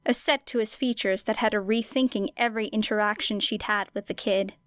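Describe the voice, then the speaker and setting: A woman's voice: medium pitch, steady tone, a little fast, fairly bright tone, no room sound. A woman reading a story aloud as scripted audiobook narration. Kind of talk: scripted fan fiction narration.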